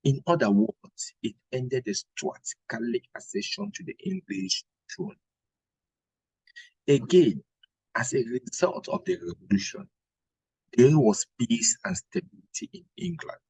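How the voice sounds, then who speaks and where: A man teaching an exam-prep lesson in a deliberate, didactic way, posing questions and answering them himself, with a husky, gravelly voice. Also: mid-range voice, sing-song, a little mellow, dry recording, poor audio. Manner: didactic, deliberate, checks with "right?" and rhetorical questions. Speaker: history teacher preparing exam candidates. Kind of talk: instructional exam-prep lecture.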